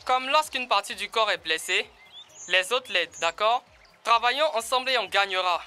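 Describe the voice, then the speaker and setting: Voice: medium pitch, normal speed, sharp tone, smooth, faint music behind, close to an everyday voice. An actor voicing an earnest, encouraging young animal team leader in an educational children's cartoon, explaining things in a didactic way.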